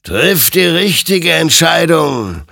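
Man voicing a slow, menacing, all-knowing rider of death. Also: lowish voice, very animated, vocal fry, a bit bright, slightly rough, dry recording, studio quality, pitched above the performer's own voice, exaggerated voice. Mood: accusing. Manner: slow, solemn, hissing and whispering. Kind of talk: dramatic audiobook storytelling.

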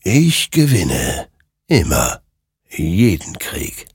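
Man voicing a slow, ominous ghostly rider of death. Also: low voice, wildly swooping pitch, creaky, music in the background, heavily acted. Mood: ominous, solemn. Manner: solemn, slow, pronouncing doom. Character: ghostly rider of smoke and flaming souls, ominous, all-knowing death.

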